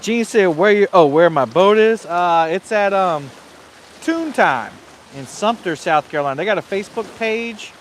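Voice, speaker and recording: A man's voice: high voice, animated, moderate pace. A man, chatting easily outdoors on a windy lake bank and reading out viewer comments. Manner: easygoing, reads out viewer comments. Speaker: guest reading chat. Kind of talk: casual livestream chat.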